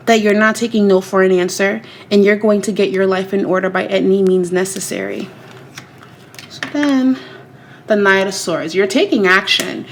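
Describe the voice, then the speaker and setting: A woman's voice: mid-range voice, slight background noise. Woman doing a tarot reading, slightly under a cold. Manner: repetitive, emphatic, speaks to viewers directly. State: getting over a cold. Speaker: tarot reader. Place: home room.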